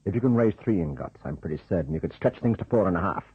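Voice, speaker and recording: An actor in an old radio drama playing a blunt, sardonic, indignant charter pilot. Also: male voice, deep voice, lively intonation, fast, slightly rough, dry recording, full character voice. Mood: hard, distrustful. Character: gruff, plain-spoken, indignant male charter pilot and major. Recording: muffled, narrow-band old radio recording.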